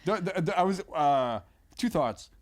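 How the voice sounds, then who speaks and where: Joking man with lively intonation. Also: a little high, moderate pace. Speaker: podcast guest, comedian. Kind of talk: casual comic chat.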